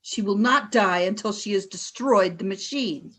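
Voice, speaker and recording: A woman's voice: medium pitch, normal speed, fairly bright tone, lightly acted. A woman playing a cold, ruthless alien warrior leader in a sci-fi radio play, terse and commanding.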